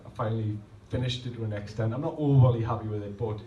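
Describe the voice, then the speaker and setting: A man's voice: fairly low pitch, steady tone, fast talker, dull audio, a little hiss or hum. A man, reading rhymed spoken-word poems and talking loosely between them. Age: 30s. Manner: rhythmic, rhymed delivery between rambling, self-deprecating asides. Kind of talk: poetry reading with chatty asides. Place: pub function room at a poetry night. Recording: roomy, distant live recording, faint ambience.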